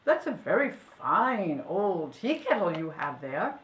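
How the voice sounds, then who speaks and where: A woman voicing a polite, mild male priest in a storybook, with a courteous and measured manner. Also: fairly low pitch, big pitch swings, echoey room, pitched below the performer's own voice. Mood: pleased, polite. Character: polite tea-loving male priest, mild, easily startled. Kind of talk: storybook character voice.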